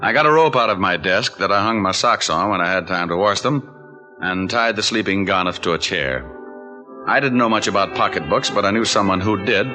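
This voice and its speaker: An American actor playing a breezy, flippant private detective in a 1940s radio drama, tossing off deadpan quips. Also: male voice, on the low side, a little fast, music in the background, close to an everyday voice. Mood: wry narrating.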